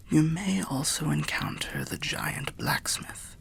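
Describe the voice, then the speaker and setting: A man voicing an old undead soul telling fantasy tales in a musing, unhurried ASMR roleplay manner, low, gravelly and half-whispered. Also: wildly swooping pitch, a bit bright, full character voice. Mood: helpful, musing. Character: old undead soul, genial storyteller by a bonfire.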